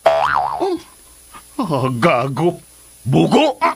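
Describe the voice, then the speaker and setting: An actor with a Filipino accent playing a scheming, lovestruck male villain in a radio drama, speaking in a rough, exaggerated way. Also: medium pitch, huge pitch swings, faint music behind, full character voice. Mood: scornful, incredulous. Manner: slow, exaggerated, swinging delivery.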